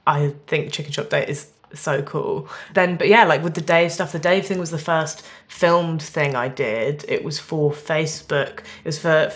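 A woman's voice: deep voice, unhurried, few pauses. A woman in her twenties chatting casually as a comedian guest, jokey, rambling and self-deprecating. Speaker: comedian guest on a radio show. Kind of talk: casual interview chat.

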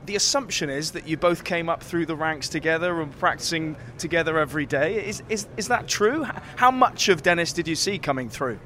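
A man's voice: a little high, expressive, fairly quick, hardly any pauses, sharp tone, slight background noise. A man interviewing a young tennis player outdoors, asking friendly, conversational questions with small restarts.

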